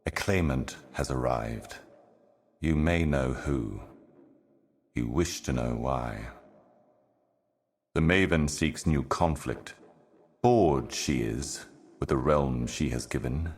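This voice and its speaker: An actor playing an ominous, ancient otherworldly herald in a video game, speaking in solemn, cryptic, deliberate monologue. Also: male voice, very deep voice, exaggerated voice. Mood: cryptic, foreboding. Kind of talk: scripted video game voice acting, slow monologue.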